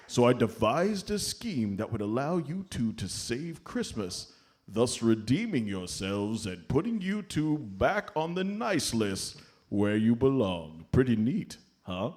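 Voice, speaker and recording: A man playing a jolly, avuncular Santa Claus, gently scolding and fond, in a live comedy radio play. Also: fairly low pitch, very animated, measured pace. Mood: jolly, pleased. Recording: live stage comedy show recording.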